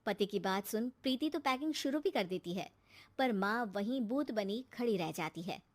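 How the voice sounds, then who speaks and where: A woman's voice: medium pitch, animated, normal speed. A woman narrating a scripted cartoon family story as the storyteller.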